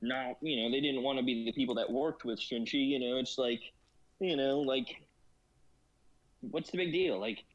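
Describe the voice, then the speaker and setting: A man's voice: mid-range voice, normal speed. Man, casual chat, rambling, lots of fillers, laughs often, muffled lo-fi sound. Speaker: guest in gaming discussion.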